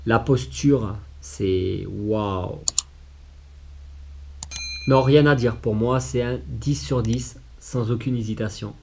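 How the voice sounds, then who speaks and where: A man's voice: medium pitch, slow, creaky, darkish tone, slight background noise. A man hosting a casual collectible review video, a bit congested from a cold and filling his speech with hesitant "euh"s. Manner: hesitant, many "euh" fillers and restarts. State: has a cold, low on voice.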